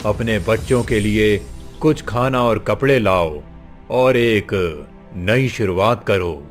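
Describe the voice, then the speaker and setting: Man voicing a deep, slow, grave old king. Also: soft background music. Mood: kindly, solemn. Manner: solemn, measured. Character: kind, grave old king on his throne. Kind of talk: Hindi moral-story cartoon dialogue.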